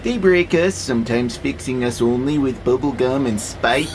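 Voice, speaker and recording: An actor playing an obedient, literal-minded freight robot in an audio drama, with a pedantic, matter-of-fact manner. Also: male voice, medium pitch, normal speed, faint music behind, lightly acted. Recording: clear steady background noise, vehicle-like hum.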